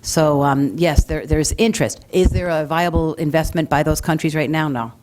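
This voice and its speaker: A woman's voice: sits low, moderate pace, a little mellow, slight background noise. A woman answering audience questions as an expert panelist, in a frank, emphatic and informal manner. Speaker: panelist, mining sector expert.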